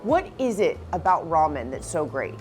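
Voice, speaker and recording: A woman's voice: deep voice, normal speed, faint music behind. A woman hosting a lifestyle show, reacting enthusiastically as she tastes food. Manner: enthusiastic, animated reactions while tasting. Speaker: TV host.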